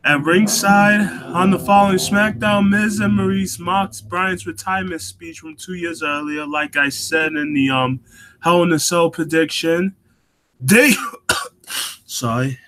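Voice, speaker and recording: A young man hosting a casual talk show on his own, getting over a cold and coughing now and then, halting with lots of ums and restarts. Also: high voice, steady tone, a bit bright. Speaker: wrestling show host.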